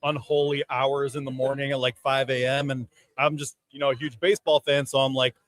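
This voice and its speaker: A man in his thirties telling a casual story as a podcast panelist, halting and rambling. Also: mid-range voice, little pitch movement, fairly bright tone, dry recording. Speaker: sports writer and podcast panelist.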